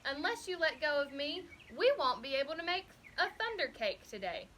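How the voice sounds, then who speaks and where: A woman voicing a calm, folksy farm grandmother from a children's storybook, reassuring and rustic in her phrasing. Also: high-pitched, moderate pace, a little hiss or hum, voice pushed up, heavily acted. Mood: steady, instructive. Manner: steady, soft, rustic phrasing. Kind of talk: children's storybook character reading.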